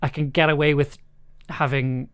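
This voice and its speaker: A man's voice: on the high side, level tone, moderate pace, fairly bright tone, clean tone. Man thinking aloud on a livestream. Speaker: streamer and audio drama producer. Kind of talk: casual livestream commentary while editing.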